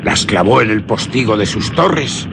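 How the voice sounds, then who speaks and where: A man with a husky, rough-edged voice, narrating a suspense story theatrically and deliberately in literary radio-theatre style. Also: lowish voice, animated, heavy background noise.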